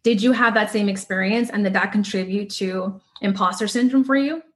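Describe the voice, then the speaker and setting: A woman hosting an interview, asking measured questions and encouraging her guest. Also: mid-range voice. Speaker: podcast host. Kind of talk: interview conversation.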